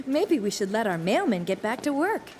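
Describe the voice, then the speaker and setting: A woman's voice: on the high side, sing-song, unhurried, over music. A woman voicing a kindly, easygoing young mother in a children's cartoon. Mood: amused, kindly.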